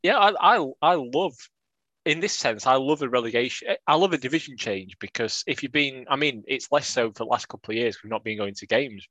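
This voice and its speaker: A man's voice: medium pitch, fairly quick, sharp tone, no room sound. A man, co-hosting a casual chat with wry, jokey banter. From England. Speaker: podcast co-host.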